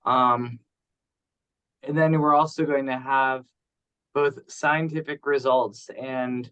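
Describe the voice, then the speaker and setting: A man's voice: medium pitch, steady tone, takes it slowly, pushed and bright, clean tone, lo-fi sound. A man talking informally as a participant in an online meeting. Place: online conference call.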